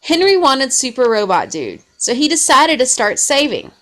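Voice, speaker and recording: A woman reading a children's story aloud in an expressive way. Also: high-pitched, moderate pace. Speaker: storybook reader.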